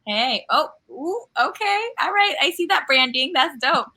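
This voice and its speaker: A Colombian-born woman hosting a casual panel chat, upbeat and quick with affirmations. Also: sits high, expressive, bright, forceful tone. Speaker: host.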